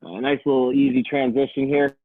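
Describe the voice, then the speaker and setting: A man coaching an at-home stretching class, calmly counting down holds and talking in a soothing voice. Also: medium pitch, a little fast, no echo. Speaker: fitness coach. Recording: tinny, dry phone-like audio.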